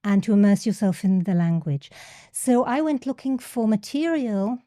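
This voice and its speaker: A woman's voice: medium pitch, expressive, normal speed, warm tone, dry recording, studio quality. A middle-aged woman with an English accent, a podcast guest speaking hesitantly and often repeating words. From England. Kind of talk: casual interview.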